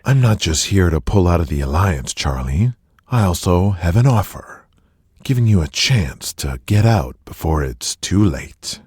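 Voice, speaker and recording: A man plays a scheming wrestling promoter in an audio drama, calm, menacing and deliberate. His voice is very low and husky, unhurried, and recorded dry and close. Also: dry recording, heavily acted. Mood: coldly commanding.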